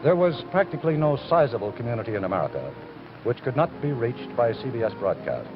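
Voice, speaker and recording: A man's voice: medium pitch, big pitch swings, fast, lightly acted. A man acting as a grave narrator, delivering a solemn scripted account in a documentary style. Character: grave male narrator of a true historical account. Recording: dull lo-fi old television audio, music behind.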